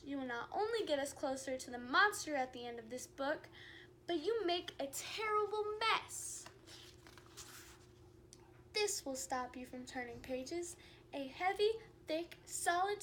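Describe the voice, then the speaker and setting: A frantic, pleading furry monster, as a woman puts him on while reading aloud. He sits high and sharp in tone, begging the listener not to turn the page. A storybook read-aloud. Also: slight background noise. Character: anxious furry blue male monster, pleading. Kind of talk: children's book read-aloud.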